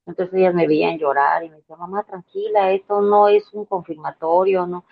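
A woman in her fifties with a Mexican Spanish accent speaks with a gravelly, creaky edge. She talks earnestly and hesitantly, often restarting her sentences. Her voice comes through a thin, phone-like line. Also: on the low side, steady tone, fairly quick. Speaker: person giving a personal testimony. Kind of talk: emotional personal testimony.

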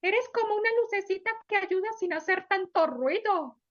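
A woman's voice: very high voice, moderate pace, lo-fi sound, voice pushed up. A woman voicing a happy, grateful little girl in a children's story. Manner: bright and grateful.

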